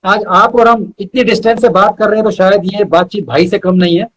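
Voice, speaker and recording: A man's voice: sits high, fairly even intonation, brisk, low-quality recording. A man reciting poetry as a guest poet, repeating lines for emphasis, with short asides to listeners.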